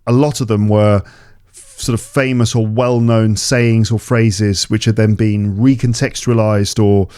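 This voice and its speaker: A man guest on a podcast who chats casually and thinks out loud, often restarting his sentences. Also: on the low side, fairly even intonation, measured pace, smooth, no room sound. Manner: thinking aloud, with many restarts and hedges. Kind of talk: casual conversation.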